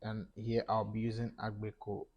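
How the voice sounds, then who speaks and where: A young man with a Ghanaian English accent narrates a screen tutorial in a casual way, stopping now and then to find his words. His voice sits low and sounds a little husky. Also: completely flat delivery, muffled recording. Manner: casual explaining, talks through each step, halting with fillers. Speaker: tech YouTuber. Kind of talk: screen-recorded tutorial walkthrough. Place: small room indoors.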